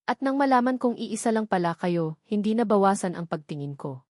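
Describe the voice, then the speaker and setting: An earnest young boss declares his love in a calm, steady voice, with a woman reading his lines. The pitch sits in a middle range. The recording is dry and close. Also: brisk, dry recording, lightly acted. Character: devoted young male boss, earnest and loving.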